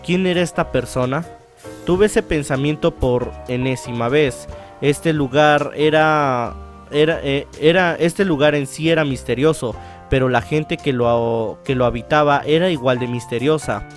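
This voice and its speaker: A man's voice: medium pitch, unhurried, faint music behind. A man hosting a let's play, reading visual novel narration aloud and now and then stumbling and rereading phrases.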